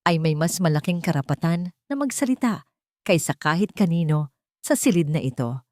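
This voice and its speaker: A woman narrator plays a calm, powerful Filipino man in an audio melodrama. He speaks with firm, measured authority, and the recording is dry. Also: fairly low pitch, no room sound, natural voice. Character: calm, powerful man, loyal supporter of the heroine.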